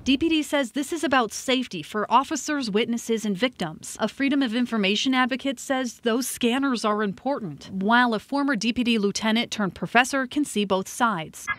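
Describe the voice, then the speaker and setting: A woman's voice: a little high, normal speed, hardly any pauses, fairly bright tone. An American woman narrating a scripted television news report as a reporter.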